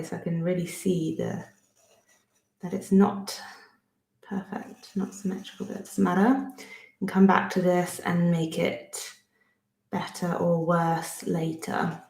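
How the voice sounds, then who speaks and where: A woman's voice: fairly low pitch, flat delivery, unhurried, creaky, warm tone, echoey room, poor audio. A woman in her thirties with a Bristolian accent, chatting through a friendly step-by-step drawing lesson at her home desk, thinking aloud and poking fun at herself as she works. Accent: Bristolian English. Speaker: art teacher. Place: home studio desk, indoors.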